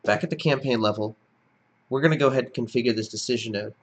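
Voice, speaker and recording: A man's voice: mid-range voice, quick pace. A man giving step-by-step screencast narration as an instructor, in a plain-spoken, explanatory manner. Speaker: software tutorial instructor.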